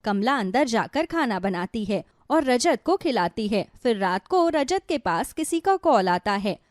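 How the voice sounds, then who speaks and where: Indian story lady narrator, high pitched and lively, dry studio-like sound, scripted moral tale. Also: a little slow, dry recording.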